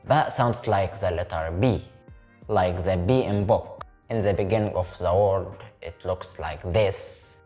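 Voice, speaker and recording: A man with a very low voice teaches Arabic to beginners in English, his own first language being Arabic. He speaks slowly and says each word twice so the learner can repeat it. Soft music plays faintly underneath. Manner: repeats words slowly for the viewer to copy. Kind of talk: slow step-by-step language lesson.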